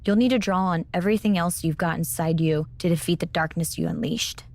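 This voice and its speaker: A woman playing a blunt, knowing mentor in a video game cutscene, explaining in a measured, pointed way. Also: lowish voice, a little mellow, lightly acted. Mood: grave. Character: knowing, blunt female mentor in a supernatural wrestling drama.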